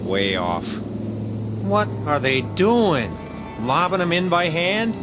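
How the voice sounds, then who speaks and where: An actor playing an uneasy, grumbling infantry soldier in a radio war drama, sounding incredulous. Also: male voice, fairly high pitch, big pitch swings, slow, over music. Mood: exasperated.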